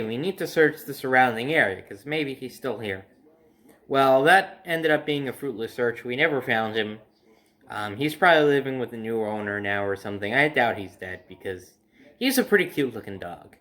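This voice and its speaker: A man's voice: medium pitch, expressive, moderate pace, a bit bright. A young adult man casually telling a personal story to camera in a conversational, emphatic way. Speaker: storyteller and host. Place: indoor room by a window at home.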